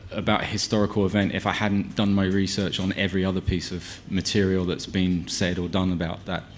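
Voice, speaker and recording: Man, very low voice, film director, halting, restarts, press conference. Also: little pitch movement, slight background noise. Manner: halting, many restarts and fillers.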